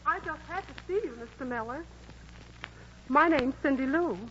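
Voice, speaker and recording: An earnest young country girl pleads softly in a high voice. It is 1940s radio acting on a dull, muffled broadcast recording. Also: moderate pace, slight background noise. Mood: pleading. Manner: soft, pleading. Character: earnest shy country girl Cindy Lou.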